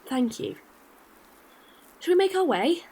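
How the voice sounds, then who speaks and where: A woman's voice: extremely high pitch, big pitch swings, takes it slowly, warm tone, slight background noise, full character voice. A woman playing a friendly, practical alien courier in a science-fiction audio drama, easygoing and matter-of-fact. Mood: polite, businesslike.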